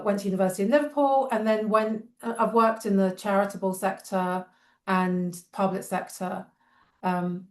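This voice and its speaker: A woman's voice: mid-range voice, roomy sound. A woman in her fifties from England with a London accent touched by a Northern edge, a charity guest talking thoughtfully in long reflective runs. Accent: London English with a slight Northern edge. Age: 50s. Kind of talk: reflective interview talk.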